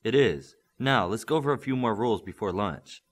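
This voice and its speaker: Man as a firm, low-voiced superhero mentor. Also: a little fast. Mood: instructive. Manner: instructive, steady coaching. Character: patient, firm adult male superhero mentor.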